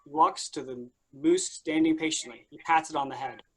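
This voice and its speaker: A man's voice: fairly high pitch, normal speed, breathy edge, poor audio. A man reading scripted stage directions as the narrator of a staged play reading.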